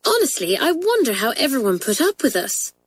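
A woman's voice: high-pitched, very animated, fairly quick, slightly rough, pitched above the performer's own voice, heavily acted. A woman reading a children's storybook, voicing a frank, chatty schoolgirl with a breezy, emphatic manner. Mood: rueful amazement. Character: frank, chatty schoolgirl twin, candid and exclamatory.

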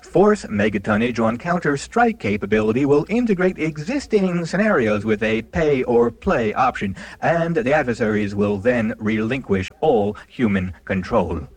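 A man's voice: medium pitch, sing-song, normal speed, few pauses, slightly rough, no echo. A man playing an officious military technocrat in a comedy piece, reciting jargon with a rising and falling phrase pattern. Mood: officious, ominous. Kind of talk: mock official briefing, scripted.

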